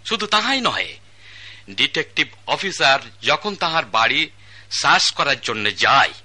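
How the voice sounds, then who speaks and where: An actor playing a stern magistrate who reads out the charges and the sentence in formal, old-fashioned court language. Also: male voice, a little high, very animated, normal speed, sharp tone, exaggerated voice. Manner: formal, archaic court diction, calls order. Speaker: judge. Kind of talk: Bangladeshi state radio drama acting.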